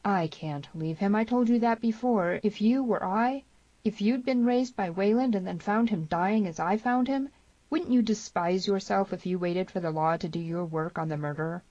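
A woman's voice: medium pitch, normal speed, mellow, no room sound, highs cut off, voice pushed up, close to an everyday voice. A woman voicing a brooding, stubborn young giant of a man in a western audiobook. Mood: resolute.

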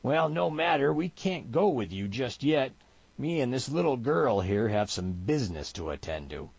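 A man's voice: mid-range voice, sing-song, moderate pace, creaky, a little mellow, breathy edge, dry recording, muffled recording, voice pushed up, exaggerated voice. A man voicing a big, brutish mountain man outlaw in a Western story, with a folksy rural American accent. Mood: taunting. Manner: folksy drawling threats and stammering pleas. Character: big brutish mountain man outlaw, swaggering bully turned craven pleader.

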